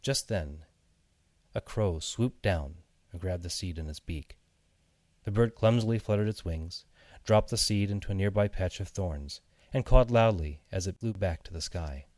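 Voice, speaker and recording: A man narrating a children's fairy tale from a script in a measured, expressive storytelling manner. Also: low voice, no echo. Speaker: audiobook narrator.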